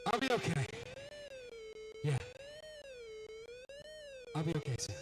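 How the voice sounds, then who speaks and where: Stubborn, overwrought young man in sci-fi audio drama; melodramatic, very animated delivery over prominent music. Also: fairly high pitch, slow pace, exaggerated voice. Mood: awed, calm. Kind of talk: science fiction radio drama acting.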